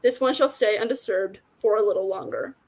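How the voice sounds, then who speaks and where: An ancient god of the wild, tender and forlorn as a woman reads him from a novel. He speaks solemnly and gently, taking his time like someone fading away. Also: mid-range voice, brisk, close to an everyday voice. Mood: fond, wistful.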